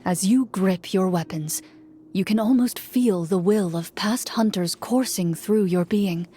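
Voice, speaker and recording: A calm game master, played by a woman narrates what the players see and feel in an even, storytelling tone. Her voice sits at an ordinary pitch. Music runs beneath her. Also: lightly acted. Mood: steady. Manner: measured, storytelling. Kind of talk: scripted second-person narration.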